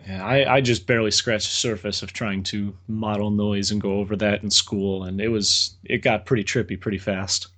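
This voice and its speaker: A man's voice: fairly low pitch, fairly even intonation, moderate pace, hardly any pauses, a bit bright. A man, a guest engineer on a podcast, explaining technical ideas casually with dry joking asides.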